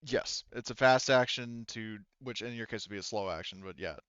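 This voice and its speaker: A man acting as game master for a tabletop game over voice chat, pausing often with ums as he works through the rules. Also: on the low side, fairly bright tone, smooth, dry recording, lo-fi sound. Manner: halting, many ums and restarts while narrating rules. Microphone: headset.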